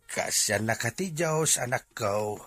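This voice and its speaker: Man acting raspy, emphatic Ilocano father. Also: medium pitch, animated, a little slow, fairly bright tone. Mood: sorrowful. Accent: Filipino, Ilocano. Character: stern but caring Ilocano father.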